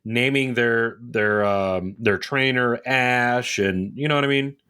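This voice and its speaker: A man with an average-pitched voice speaks as a podcast co-host. He chats in a loose, conversational way, wandering between thoughts and often checking that he is understood. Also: slow, sharp tone, smooth. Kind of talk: casual conversational video game chat.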